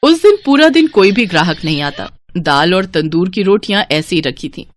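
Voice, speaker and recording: Woman reading a storybook tale, lively swings in pitch, dry sound. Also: moderate pace, no room sound. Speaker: narrator. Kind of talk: scripted storybook narration.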